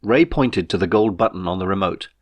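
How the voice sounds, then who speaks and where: A man reading his own novel as a scripted audiobook, in a measured storytelling manner. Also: low-pitched.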